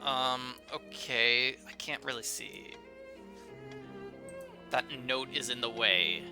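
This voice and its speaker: A man's voice: mid-range voice, takes it slowly. A man giving casual, playful commentary as he hosts a video game playthrough. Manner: chatty, playful, informal. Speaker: gaming video host. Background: faint game music.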